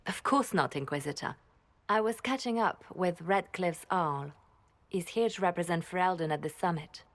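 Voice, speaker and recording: An actress playing a composed, reassuring religious leader and former spymaster in a fantasy game, calm and deliberate. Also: female voice, fairly low pitch, natural voice.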